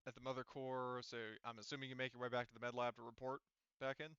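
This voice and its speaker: A man runs a casual tabletop roleplaying game as game master. His voice is crisp and forward, with a halting way of talking as he confirms details. The sound is dry and a little muffled. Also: medium pitch, level tone, fairly bright tone, no room sound. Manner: halting, checking details back with players.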